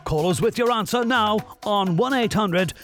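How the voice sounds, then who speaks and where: An actor playing a brash, cheesy local-radio breakfast presenter with an Irish accent and showy, flippant patter. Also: male voice, high voice, normal speed, a bit bright, faint music behind. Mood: upbeat, showy. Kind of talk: comic radio play, radio DJ patter.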